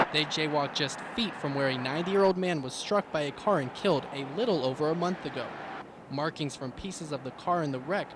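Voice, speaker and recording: A man delivering scripted television news reports as a field reporter. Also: a little high. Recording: outdoor location sound with traffic noise.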